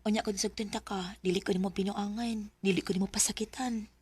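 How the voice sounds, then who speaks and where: An actress playing a hesitant, gentle young village daughter in a Bisaya radio drama, with a Cebuano Filipino accent. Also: female voice, medium pitch, fairly even intonation, a little fast, slight background noise, close to an everyday voice. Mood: hesitant, yielding.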